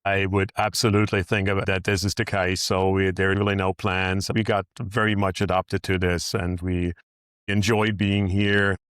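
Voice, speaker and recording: A middle-aged German-accented man talks through an interview in an earnest, upbeat way, now and then restarting a phrase. His voice sits fairly low. The sound is close and dry, with no room around it. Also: level tone, moderate pace, a bit bright, smooth, no room sound. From northern Germany. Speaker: guest, managing director. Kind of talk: in-person interview.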